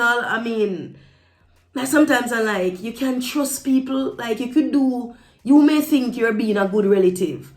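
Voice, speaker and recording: A woman's voice: a little high, echoey room. A woman giving casual opinion commentary to viewers, speaking emphatically and repeating phrases for stress. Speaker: vlogger.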